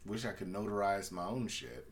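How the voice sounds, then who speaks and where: A man's voice: lowish voice, lively intonation, normal speed. A man in his late thirties chatting informally as a podcast co-host, asking questions in casual, slangy banter over slight background noise.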